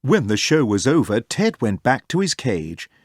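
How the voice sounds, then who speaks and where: A man narrates a children's storybook in a medium-pitched voice. His intonation is lively and expressive, with clear phrasing. The recording is close and dry, with no room around the voice. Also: moderate pace, no room sound. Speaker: storybook reader. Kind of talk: animated children's read-aloud narration.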